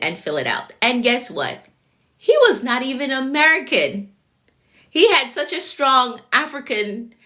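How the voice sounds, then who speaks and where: A woman's voice: medium pitch, bright, forceful tone. A woman with a Jamaican accent, a productivity coach giving a live-stream talk, repeating her key points and greeting viewers by name.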